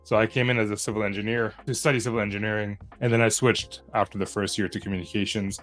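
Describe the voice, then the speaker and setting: A man's voice: lowish voice, flat delivery, fast, soft background music. A man, telling his career path in a plain, matter-of-fact interview manner. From Lebanon. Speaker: guest. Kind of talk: casual interview storytelling.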